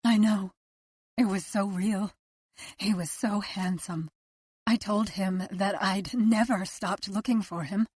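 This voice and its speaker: A woman's voice: mid-range voice, fairly even intonation, slow, a little mellow, breathy edge, dry recording, studio quality. A woman narrator voicing a grieving mother who clings to the hope that her missing son is alive, earnest and heartfelt. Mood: hopeful. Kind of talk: audiobook character voice.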